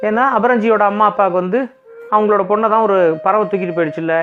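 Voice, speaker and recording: Male bedtime storyteller: informal, simple, high register, thin phone-like recording. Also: quick pace. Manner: conversational, explains simply. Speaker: children's storyteller. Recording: thin phone-like sound, music behind.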